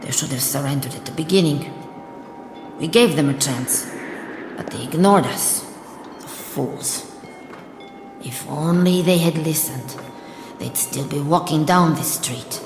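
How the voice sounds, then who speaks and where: In a reverberant space with music underneath, a hungry, scornful woman soldier. She is the lone survivor of a war, musing to herself in fragments as in a radio play, at a slow pace. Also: sits low. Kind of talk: dramatic audio drama monologue.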